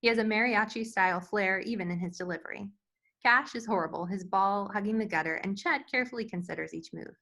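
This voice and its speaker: A woman's voice: mid-range voice, fast talker, gravelly, sharp tone. A woman reading screenplay stage directions as scripted narration at a table read.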